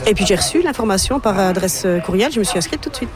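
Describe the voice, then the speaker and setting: A woman's voice: medium pitch, expressive, fast. A woman from France with a French accent, answering a street interview in a chatty way with fillers.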